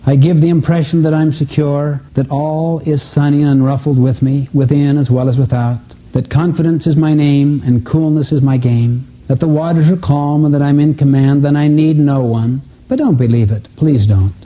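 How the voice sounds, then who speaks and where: A man reciting as an insecure, frightened soul, in an earnest, measured, confessional dramatic delivery on a dull lo-fi recording. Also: a little high, fairly even intonation, dark tone, close to an everyday voice. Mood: pleading, vulnerable.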